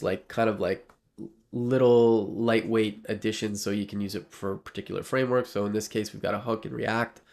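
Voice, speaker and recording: A man with a low, gravelly voice that sounds nasal from a cold talks through his code on a live stream. His speech rambles and is full of fillers and restarts, picked up close on a desk mic. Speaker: livestreaming developer. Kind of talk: casual live coding talk.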